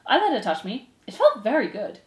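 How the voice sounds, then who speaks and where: A small, earnest young male mouse from a storybook , acted by a woman. He sounds soft, innocent and polite, with a lilting, slightly high voice and noticeable room echo. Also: sing-song, brisk. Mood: earnest, meek.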